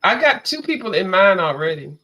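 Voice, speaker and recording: A woman's voice: mid-range voice, sing-song, fairly quick, bright, forceful tone, a little hiss or hum, low-quality recording. A woman in casual chat, wry and guarded, refusing to get into other people's quarrels.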